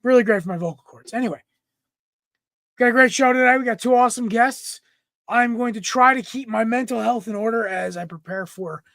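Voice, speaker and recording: Young male podcast host, slightly raspy, rambling and casual, bright voice, throat clearing. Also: very high-pitched, dry recording. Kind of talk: casual sports podcast chat. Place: room at home. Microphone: computer mic, no headset.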